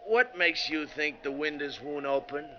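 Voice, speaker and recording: A gruff, no-nonsense bus driver with a bright, forceful voice at medium pitch. He talks in a folksy, firm way, in the manner of a 1940s radio play, on a muffled old recording. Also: moderate pace, slight background noise. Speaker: actor playing driver and narrator.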